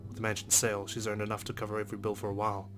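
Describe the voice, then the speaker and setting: Fast, flat, low-voiced male narrator. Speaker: let's play host. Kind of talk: narration read aloud from a visual novel. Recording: music under the voice. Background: game music.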